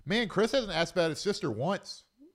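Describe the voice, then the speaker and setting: A man's voice: high-pitched, expressive, brisk. A man co-hosting a casual film chat, enthusiastic and given to repeating himself for emphasis.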